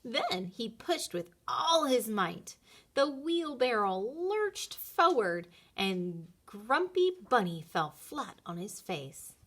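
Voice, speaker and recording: Woman, storybook narrator, expressive read-aloud, bright tone, very lively pitch. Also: takes it slowly.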